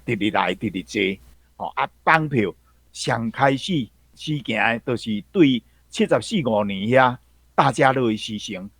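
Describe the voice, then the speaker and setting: A Taiwanese man explains background as a radio commentator. His mid-pitched voice moves in short, measured phrases and sounds dry, with no room around it. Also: no room sound.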